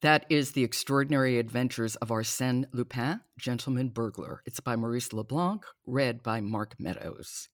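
A woman's voice: rumbling low, moderate pace, few pauses, no echo, clean studio sound. A woman hosting a podcast discussion about books. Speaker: podcast host.

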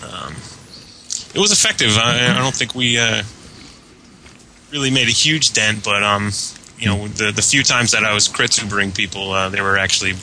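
A man chatting casually on a podcast about online gaming, telling things in a rambling, halting way. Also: fairly low pitch, pushed and bright, slight background noise, low-quality recording. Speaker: podcast panelist.

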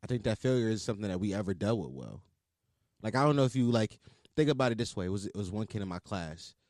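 A man's voice: on the low side, no echo, clean studio sound. A man hosting a casual podcast chat, talking with a slight stutter and laughing often. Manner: quick, lively, laughs often. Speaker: podcast host.

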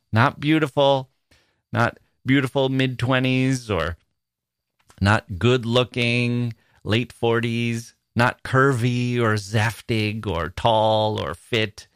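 A man's voice: medium pitch, no room sound, clean studio sound. A man hosting a podcast, giving wry, amused commentary as he reads out personal ads and muses aloud in rhetorical questions. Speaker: podcast host. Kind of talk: humorous solo commentary reading out personal ads.

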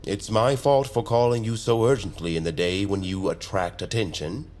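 An actor playing a sly master tailor and secret mentor, silky, deliberate and theatrical in audio drama style. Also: male voice, fairly low pitch, a little mellow, close to an everyday voice. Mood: sly, intimate.

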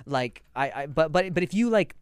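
A man's voice: a little high, expressive, normal speed, smooth, no room sound, clean studio sound. A man co-hosting a casual chat, rambling with many restarts and laughing easily. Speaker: podcast co-host.

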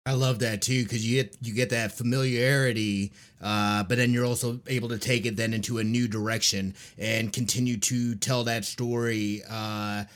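A man hosting a casual podcast interview, appreciative and halting, with many uhs and restarts. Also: medium pitch, flat delivery, a bit bright. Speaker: podcast host.

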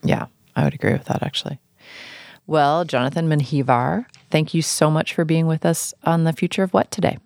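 A woman's voice: deep voice, steady tone, brisk, darkish tone. A woman hosting a podcast interview, chatty and conversational, rephrasing her questions aloud as she goes.

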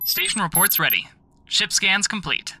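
A woman playing a formal, procedural starship officer in a science fiction audio drama, with loud ship noise around the voice. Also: mid-range voice, sing-song, normal speed, exaggerated voice. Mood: procedural countdown. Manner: crisp, procedural. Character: formal starship officer reporting systems status.

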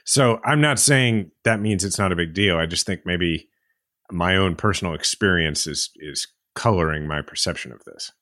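A man's voice: low-pitched, moderate pace, a bit bright, no room sound, studio quality. A man from the middle of the country co-hosting a podcast, musing in a hesitant, filler-laden way. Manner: reflective, hesitant, many fillers. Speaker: podcast co-host. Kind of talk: casual discussion.

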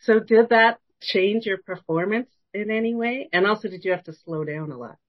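Female podcast host, medium pitch, informal questioning style, muffled lo-fi sound. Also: normal speed. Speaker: podcast interviewer. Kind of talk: casual conversational interviewing.

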